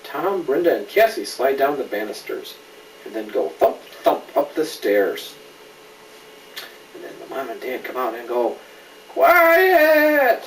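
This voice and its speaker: Middle-aged man, animated storybook reader chatting to a child; roomy, slightly noisy home recording. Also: medium pitch, slow, distant mic. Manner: animated, checks in with questions. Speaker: father reading a storybook aloud to a child. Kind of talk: bedtime story reading with asides to a child.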